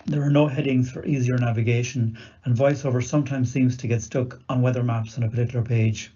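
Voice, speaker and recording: A man's voice: medium pitch, fairly even intonation, a little fast, warm tone, breathy edge. A man giving scripted instructional narration in a methodical, matter-of-fact way. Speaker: video demonstrator. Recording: dull lo-fi recorded video audio.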